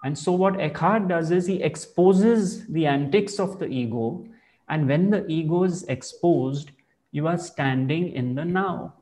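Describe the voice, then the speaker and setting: A man speaking as a spiritual teacher, explaining ideas in slow, measured and reflective phrasing. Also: a little high, darkish tone, low-quality recording. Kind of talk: calm explanatory spiritual talk.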